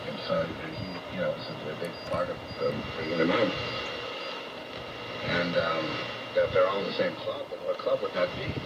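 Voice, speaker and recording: American man, lowish voice, distant muffled shortwave signal, halting unscripted monologue, grandiose, coarse-spoken, repetitive. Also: fairly even intonation. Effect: shortwave radio. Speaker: radio talk host and monologuist. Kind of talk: rambling off-the-cuff radio monologue. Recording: muffled, very noisy distant shortwave radio broadcast.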